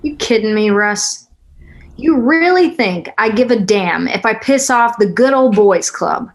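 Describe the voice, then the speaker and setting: A woman's voice: fairly high pitch, lively intonation, measured pace. A woman acting a tough, weary, guarded single mother in a naturalistic screenplay read, blunt and sardonic. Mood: defiant, sardonic. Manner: blunt, sardonic, plain-spoken.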